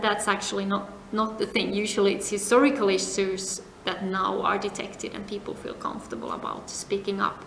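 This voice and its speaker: A middle-aged woman from Finland answering interview questions, speaking in a measured way with many pauses and phrase ends that fade to a near whisper. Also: medium pitch, creaky, a bit bright. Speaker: interviewee, compliance advisor. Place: seminar venue. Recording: echoey room, faint noise.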